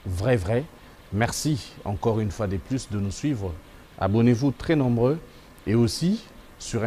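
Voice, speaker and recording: A man's voice: mid-range voice, mellow, slight background noise. A man hosting a TV magazine, addressing viewers in an emphatic presenter style and repeating his slogans. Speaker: TV magazine host.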